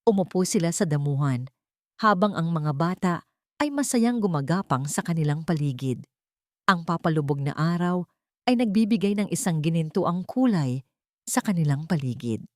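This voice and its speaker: A woman's voice: on the low side, animated, normal speed, dry recording, clean studio sound. A woman reading a scripted, dramatic story as narrator.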